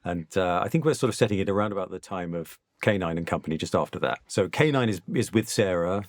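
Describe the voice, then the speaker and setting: A man's voice: low voice, quick pace, dry recording. A man talking about a production in an interview. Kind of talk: interview chat.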